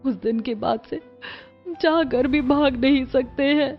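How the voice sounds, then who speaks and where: A woman plays a young elder sister in a cartoon, gentle and resigned. Her high-set voice is subdued and weary, with music behind and slightly muffled sound. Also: fairly even intonation, unhurried.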